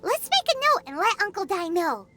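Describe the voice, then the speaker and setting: A woman voicing a tiny, curious, childlike companion creature in a video game, squeaky and inquisitive. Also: sing-song, normal speed, bright, forceful tone, slight background noise, full character voice. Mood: pondering. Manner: inquisitive, exclaiming, thinking aloud. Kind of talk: video game character dialogue.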